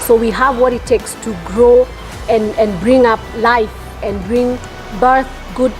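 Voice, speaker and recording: A woman's voice: fairly high pitch, fairly even intonation, a little slow, soft background music, rough recording. A woman from Kenya, an entrepreneur, answering interview questions in an earnest, reflective way with repeated phrases. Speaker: entrepreneur, property developer.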